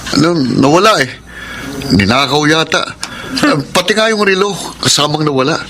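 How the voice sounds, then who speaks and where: Whiny reckless young gambler in a filipino radio drama, kinda high voice, noisy audio. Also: male voice, animated, normal speed, pushed and bright. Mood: defensive. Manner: whiny, defensive, pleading.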